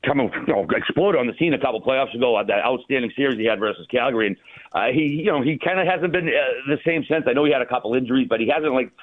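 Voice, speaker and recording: A man's voice: medium pitch, lively intonation, fast talker, no room sound. A man hosting casual sports talk about hockey, rambling informally, heard over a tinny, narrow phone-like line.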